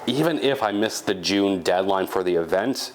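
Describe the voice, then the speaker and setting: A man's voice: sits low, moderate pace, slight background noise. Congested man giving a garage update. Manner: relaxed, rambling, thinking aloud with many fillers. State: congested, sick. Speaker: channel host. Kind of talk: casual garage update vlog. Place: home garage workshop.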